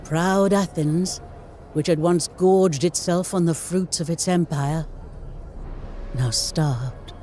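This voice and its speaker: A man playing a wry, weary storyteller who narrates ancient wars in a dramatic, measured way for a game cutscene. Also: a little high, dark tone, slight background noise. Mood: solemn, ominous. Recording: music behind the voice.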